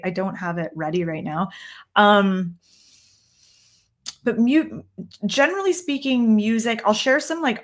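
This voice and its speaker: A young woman with a Canadian accent speaks in a middle-pitched voice, answering viewer questions in a loose, conversational way. She thinks out loud, trails off and restarts her sentences, dropping in ums as she goes. It sounds like a home livestream recorded in a room downstairs. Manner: chatty, thinks aloud with frequent ums and restarts. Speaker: tarot reader and livestream host. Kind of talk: casual livestream Q&A.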